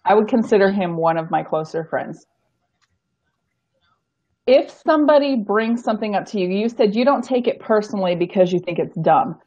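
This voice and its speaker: A woman hosting a casual chat on a streamed show, frank and animated, over a video call. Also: medium pitch, moderate pace. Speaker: show host. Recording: dull lo-fi stream audio.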